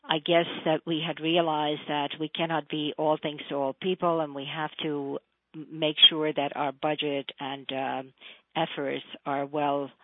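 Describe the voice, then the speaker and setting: Woman, middle-aged, from Hungary, diplomat guest; earnest, measured; dry, phone-line sound. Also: low-pitched, flat delivery, no room sound. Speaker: Foreign Service officer. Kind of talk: radio interview guest.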